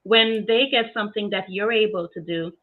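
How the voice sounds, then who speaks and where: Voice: medium pitch, moderate pace, phone-quality sound. A podcast host interviewing a guest, asking questions and agreeing often along the way. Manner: encouraging, lots of agreeing backchannels. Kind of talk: casual interview chat.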